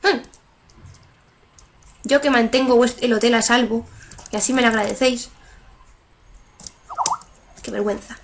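A young woman from chats on a headset while she plays, reading and translating game lines on the fly with lots of fillers. Her voice sits a little high. A faint hiss of noise runs under it. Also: steady tone, unhurried, long pauses. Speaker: gameplay streamer. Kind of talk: playing and reading game dialogue aloud.

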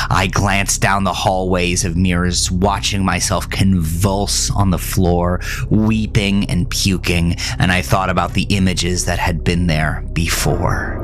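A man narrating a scripted first-person horror story in an intense, dramatic, deliberate way. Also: sits low.

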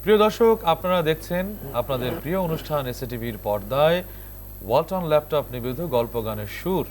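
A man's voice: a little high, lively intonation, fairly quick, a little hiss or hum. A man with a Bangladeshi accent presenting a television song programme, addressing viewers in a formal announcer manner. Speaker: TV show host.

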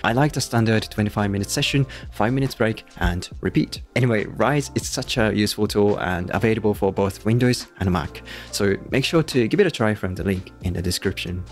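A man's voice: on the low side, a little fast, few pauses. A man in his thirties with a Japanese accent, presenting a scripted review to camera into a close microphone. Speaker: YouTube tech reviewer. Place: small room indoors. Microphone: close podcast-style microphone on a boom. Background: background music.

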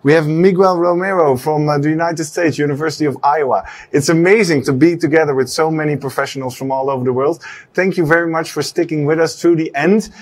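A man in his thirties hosting a live congress broadcast, quick and upbeat with many fillers, on a lapel mic in a studio set. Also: on the high side, fairly even intonation. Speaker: congress host. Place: studio set with a long desk.

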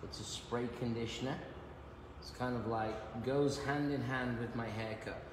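Man, 50s, hairdresser, chatty, croaky, echoey room, salon noise. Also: medium pitch, steady tone, a little slow. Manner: chatty, joking, teaching as he cuts. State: slightly croaky voice. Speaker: hairdresser and educator. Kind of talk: live haircut demonstration. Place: large bright hair salon, indoors. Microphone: phone camera held nearby.

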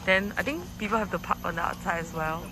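A woman's voice: fairly low pitch, fast talker, noisy background. A young woman with a Singaporean accent, chatting casually for a vlog on a handheld phone. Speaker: vlogger.

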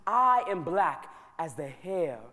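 High-voiced man reciting in an echoing church. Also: very animated, pushed and bright. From United States. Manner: rhythmic, rhyming, with emphatic slow repetitions. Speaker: spoken word poet. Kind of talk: spoken word poetry performance. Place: church sanctuary during a live concert. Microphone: stage microphone. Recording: long hall reverb from a large church.

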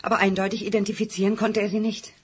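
Woman as businesslike technician, husky, mid-pitched, radio play. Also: flat delivery, quick pace, close to an everyday voice. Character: matter-of-fact female technician colleague. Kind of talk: audio drama acting.

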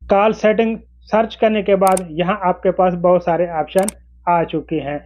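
High-pitched man presenting a tech tutorial. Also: fairly even intonation, moderate pace, highs cut off. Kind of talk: explanatory phone tutorial to camera. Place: small indoor room with desk.

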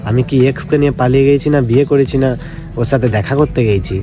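Young male prankster, rapid and needling, soft-edged tone over light music. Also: medium pitch. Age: young adult. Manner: provocative, dismissive, needling. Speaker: prank video creator. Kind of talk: casual phone prank, teasing argument with asides to viewers. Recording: music behind the voice.

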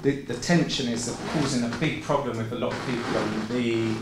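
A man's voice: mid-range voice, normal speed. Guy asking the questions from off mic, roomy echo, noisy background, explains stuff plainly. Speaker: interviewer, shop owner. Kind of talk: casual interview chat. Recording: echoey off-mic room with background noise.

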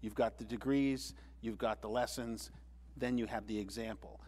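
A man speaking earnestly on a panel discussion, measured, with frequent restarts. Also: lowish voice, fairly even intonation, darkish tone, husky.

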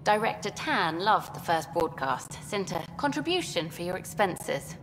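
An actress voicing an eager, upbeat female documentary journalist in a video game, talking quickly and pushing for answers. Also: fairly low pitch, very animated, long reverb tail, background noise. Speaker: documentary filmmaker character.

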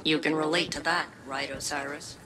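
Sardonic ship-AI role for a game, low and dry. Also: female voice, normal speed, a little hiss or hum. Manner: deadpan, sarcastic.

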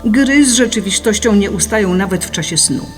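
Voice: medium pitch, lively intonation, brisk, natural voice. A narrator reading a scripted philosophical audiobook in an explanatory, didactic manner. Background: music.

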